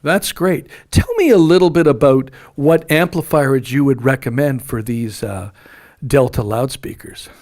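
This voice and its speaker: A man's voice: mid-range voice, unhurried, a little mellow. A man interviewing someone about a product, asking attentive questions and giving short acknowledgements.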